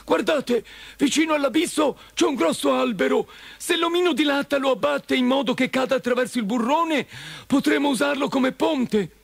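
A man voicing an eager, clever storybook scarecrow in a squeaky, creaky falsetto with an excited, urging manner. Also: moderate pace.

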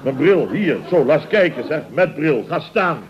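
An actor playing a blustering, jovial boss in a radio comedy, stammering and exclaiming in mock-formal phrases. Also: male voice, fairly high pitch, lively intonation, normal speed, a little hiss or hum, lightly acted. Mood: flustered amusement. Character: blustering, jovial male boss of a building firm. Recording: dull old radio recording.

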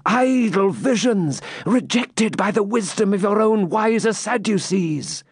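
A proud, fierce Templar knight pleads and boasts in lofty archaic speech, as a male narrator puts him on. The voice is raised, lively, and swoops up and down, recorded dry and close. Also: sits high, unhurried, husky, no room sound, voice pushed up, heavily acted. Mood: impassioned. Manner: archaic, impassioned declaiming.

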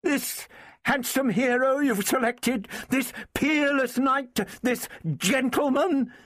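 A man's voice: sits high, big pitch swings, unhurried, slightly rough, no room sound, studio quality, pitched above the performer's own voice, full character voice. A man voicing a furious, defiant young princess in a dramatised storybook reading, impassioned and scornful. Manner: impassioned, scornful, crackling with emotion.

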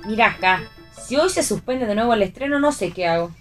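A woman plays a bossy but reassuring young Argentine actress in a radio play. Her voice is high and swoops in animated melodies. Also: fairly quick, faint music behind, close to an everyday voice. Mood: anxious. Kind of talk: read theatre for radio.

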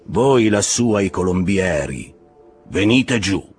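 A fierce, obsessed old sea captain. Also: male voice, low-pitched, expressive, soft background music. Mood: commanding. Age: older. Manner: declaiming, drawn-out shouted orders to his crew. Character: obsessed, commanding old whaling ship captain, fierce and fervent. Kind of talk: dramatized audiobook acting.

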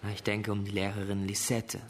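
An actor playing a teenage schoolboy suspect in a German radio crime drama, explaining himself in a hesitant, defensive, uneasy way. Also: male voice, lowish voice, completely flat delivery, fairly quick, slight background noise, natural voice. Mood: guarded. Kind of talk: German radio play acting.

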